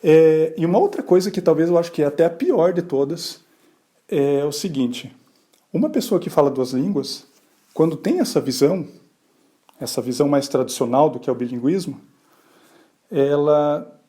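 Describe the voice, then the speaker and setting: A man from Brazil hosting a podcast, explaining ideas in a relaxed, conversational way, with rhetorical questions and brief asides where he acts out other people. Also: on the high side, moderate pace, long pauses. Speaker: podcast host. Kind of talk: explanatory solo podcast talk.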